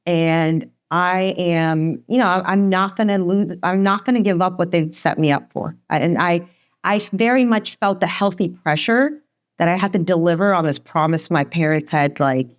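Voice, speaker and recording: Quick chatty woman in her 40s, slight northeastern accent, lowish voice, laughs a lot. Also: lo-fi sound. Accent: slight Northeastern American. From Sweden. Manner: fast, chatty, laughs often. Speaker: guest, divorce attorney. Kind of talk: candid podcast conversation.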